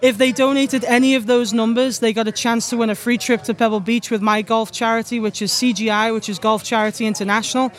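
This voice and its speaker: A woman's voice: fairly high pitch, steady tone, fast, few pauses. A young woman from Liverpool with a Scouse-Irish accent, answering interview questions as a golf pro in a chatty, upbeat way. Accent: Scouse with Irish mix. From Liverpool, England. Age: young adult.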